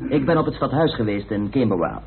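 A man's voice: medium pitch, expressive, fast, a crowd in the background, lightly acted. An actor playing a practical, diligent investigator reporting his findings in a radio play. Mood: reporting, eager. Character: practical, diligent male club member reporting research findings. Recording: tinny old radio drama recording.

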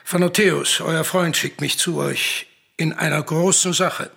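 An actor playing an older messenger with a raspy voice, solemnly declaiming grave news in a classical tragedy radio play. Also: male voice, fairly high pitch, fairly even intonation, measured pace, sharp tone, faint music behind, heavily acted. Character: old male messenger stranger bringing grave news, solemn.

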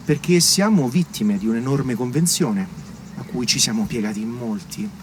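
An actor as an earnest local politician, distant and echoey. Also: male voice, medium pitch, animated, close to an everyday voice. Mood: resolute. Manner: measured, rhetorical, slogan-like. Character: earnest male small-town separatist politician being interviewed. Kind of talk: naturalistic stage drama acting, political interview. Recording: roomy, distant, clearly noisy stage recording.

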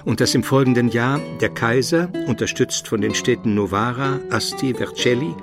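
An actor playing a learned Byzantine chronicler, narrating a radio drama in a measured, precise literary manner. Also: male voice, medium pitch, music in the background, lightly acted. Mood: bemused, weary.

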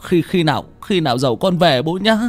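A man's voice: a little high, lively intonation, fairly quick, voice pushed up, lightly acted. A man voicing a naive, simple-minded and devoted grown son, tearful and prone to stammering, in a told story. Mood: earnest, stammering.

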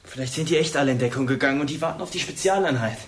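An actor playing a jittery, shaken teenage schoolboy in a naturalistic radio drama, hesitant and halting. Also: male voice, medium pitch, echoey room, a little hiss or hum, natural voice. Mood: subdued.

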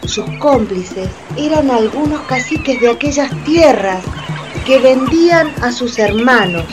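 A woman's voice: high-pitched, lively intonation, unhurried, over music. A woman giving scripted dramatic narration in a radio play.

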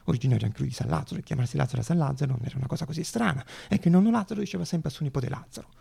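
Male theatre monologist: husky, very creaky voice, dramatic storytelling, deliberate pauses. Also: a little high, mellow. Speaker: stage actor and storyteller.

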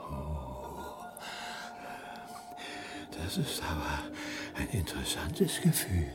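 A woman playing an innocent, bewildered newborn whale in a radio drama, curious and halting as it searches for words. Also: a bass voice, big pitch swings, loud music behind the voice, heavily acted. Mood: curious, searching.

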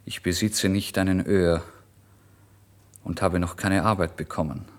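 A man's voice: deep voice, fairly even intonation, a little slow. An actor voicing an old, hungry male beggar in a literary radio drama, pleading and terse. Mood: plaintive.

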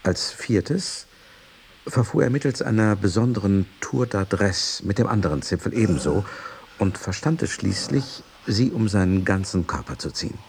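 An actor playing a sober, devoted companion of an aging master, speaking in a measured, precise manner in a literary radio drama. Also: male voice, lowish voice, animated, creaky, darkish tone, a little hiss or hum. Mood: sober, reflective.

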